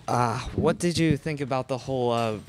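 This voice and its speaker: A young adult man, a halting student interviewer who searches for words during a live show. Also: medium pitch. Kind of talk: unscripted live interview and introductions. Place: indoor classroom stage before small audience. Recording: faintly noisy live room recording.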